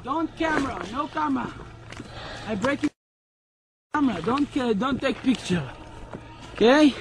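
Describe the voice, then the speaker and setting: A man shouting angry threats and curses outdoors in broken English. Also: very high-pitched, expressive, measured pace. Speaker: settler confronting activists.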